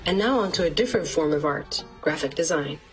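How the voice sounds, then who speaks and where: A woman narrates in a polished documentary style, her voice sitting a little low. Soft music plays faintly beneath her. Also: fairly quick. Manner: even, polished voice-over delivery.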